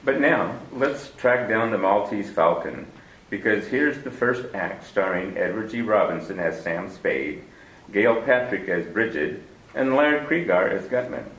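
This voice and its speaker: A man's voice: fairly low pitch, lively intonation, unhurried, a bit bright. A man hosting and narrating a 1940s radio drama in a formal, stately manner. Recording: roomy, distant 1940s radio broadcast recording.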